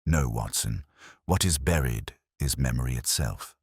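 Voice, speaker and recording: A man playing an austere, commanding detective in an audiobook story, speaking in measured, clipped pronouncements. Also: rumbling low, animated, husky, no echo, studio quality, voice pushed down, exaggerated voice. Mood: coolly analytical.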